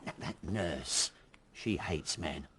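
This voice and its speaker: An actor playing a gossipy, deferential Paris bar owner in a radio drama, garrulous and familiar. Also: male voice, rumbling low, expressive, slow, a bit bright, a little hiss or hum, full character voice. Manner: garrulous, familiar, tag questions.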